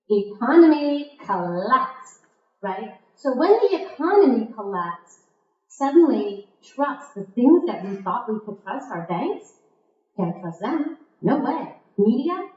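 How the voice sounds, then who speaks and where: A woman speaking to a conference audience like a theatrical storyteller, with rhetorical questions and emphatic pauses, in a very echoey, muffled recording. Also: on the high side, sing-song. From Croatia. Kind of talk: marketing keynote to a live audience. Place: indoor conference stage.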